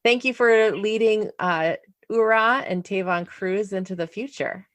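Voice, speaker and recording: A woman's voice: mid-range voice, a little slow, no echo. A woman co-hosting a podcast, asking a guest questions in a casual, friendly way with small hesitations. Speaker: podcast co-host. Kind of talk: casual interview chat.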